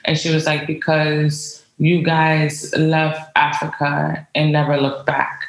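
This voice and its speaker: A woman's voice: deep voice, completely flat delivery, slow pace, vocal fry. A woman with an American accent talking conversationally as an interview guest, telling personal stories in an informal, emphatic way. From United States. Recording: roomy, echoey sound.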